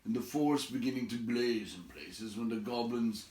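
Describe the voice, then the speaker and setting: A man's voice: mid-range voice, moderate pace, darkish tone, close to an everyday voice. A man voicing a tactful, crafty wizard who tells his tale with mild, hesitant politeness in a storybook reading. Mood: modest, storytelling. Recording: roomy echo, distant from mic.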